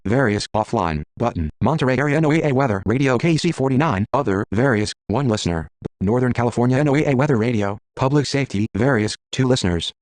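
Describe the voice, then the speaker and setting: A man's synthetic screen reader voice rattles through list entries very quickly. The delivery is clipped and mechanical, with a medium pitch and a completely dry, close sound. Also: a little mellow, dry recording. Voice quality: synthetic text-to-speech voice. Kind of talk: flat automated list reading.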